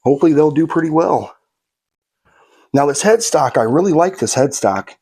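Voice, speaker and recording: A man's voice: a little high, animated, moderate pace, mellow, husky. A man narrating a how-to build in a laid-back, folksy way while he works, sniffly from a slight cold. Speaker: guitar kit builder.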